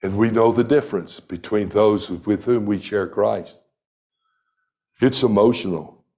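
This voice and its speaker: Man in his sixties, lowish voice, unhurried pastor delivering a sermon. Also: mellow. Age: 60s. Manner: slow, deliberate preaching with emphatic scripture reading.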